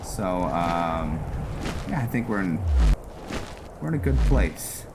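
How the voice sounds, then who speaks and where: Male game commentator: low register, unhurried and halting delivery, casual roleplay narration, prominent music underneath. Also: lively intonation, very noisy. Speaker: gaming video host.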